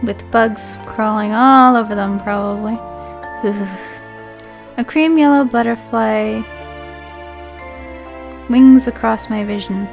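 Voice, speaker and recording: A woman's voice: fairly high pitch, takes it slowly, gravelly, long pauses, soft background music. A woman reading a visual novel aloud as a let's play host, breaking off into chatty asides and playful self-corrections. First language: English.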